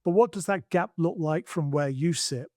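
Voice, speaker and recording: A man's voice: on the high side, moderate pace, darkish tone, dry recording, clean studio sound. A man hosting an interview, telling stories casually and repeating words as he thinks. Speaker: podcast host.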